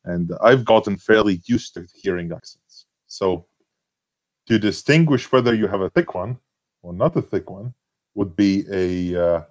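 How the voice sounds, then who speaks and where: A man with a Latvian accent speaks in a slightly low voice. He talks in a casual, halting way, full of "you know" and restarts. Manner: hesitant, many fillers and restarts. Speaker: forum member being interviewed. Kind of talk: casual conversation.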